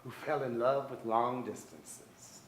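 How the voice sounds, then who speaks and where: An actor playing a wry, poetic man narrating his family's story in a theatrical stage monologue with deliberate pauses. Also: medium pitch, fairly even intonation, natural voice. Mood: wry, wistful. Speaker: actor in a staged play reading. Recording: echoey theater stage, noticeable noise.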